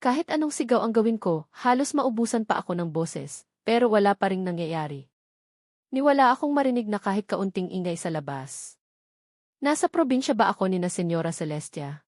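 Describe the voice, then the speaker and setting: A woman's voice: mid-range voice, sing-song, fairly quick, a little mellow, no room sound, clean studio sound. A woman reading a dramatic first-person story as a scripted narrator. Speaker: narrator of a romance novel.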